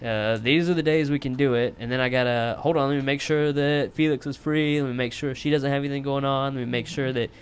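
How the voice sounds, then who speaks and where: A man's voice: medium pitch, steady tone, quick pace, few pauses, smooth. A man hosting a podcast in casual chat, laid-back and swearing freely. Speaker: podcast host.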